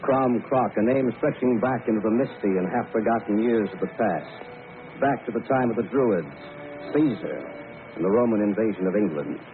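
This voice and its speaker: A man's voice: on the low side, lively intonation, moderate pace, close to an everyday voice. An actor playing an earnest, uneasy man in a grave, dramatic old-time radio style. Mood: grave, foreboding. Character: Jack, earnest, uneasy young man telling a supernatural tale. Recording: noisy, narrow-band vintage radio.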